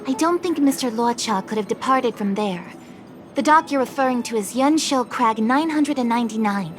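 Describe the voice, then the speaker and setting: A woman's voice: on the high side, music in the background, natural voice. A woman playing a polite, dutiful official in a video game, speaking in a formal, careful, helpful way. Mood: informative. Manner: formal, measured, courteous.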